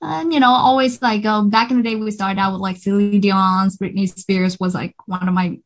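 A woman's voice: medium pitch, steady tone, normal speed. A woman in her thirties from Vietnam, with a Vietnamese accent, chatting casually as an interview guest, full of fillers and restarts. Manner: hesitant, many fillers and restarts, upbeat.

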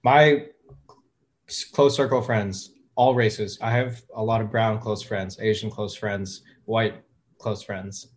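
A man in his thirties, a guest talking casually in an interview, with a relaxed, hesitant way of talking full of fillers and restarts. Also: on the low side, takes it slowly. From United States. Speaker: guest, music producer.

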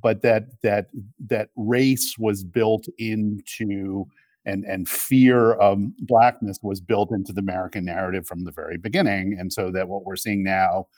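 A middle-aged man speaking as an interview guest, discussing politics reflectively in a halting, thoughtful way with frequent repetitions. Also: fairly low pitch, fairly even intonation, a little mellow, no echo. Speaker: interview guest, media executive.

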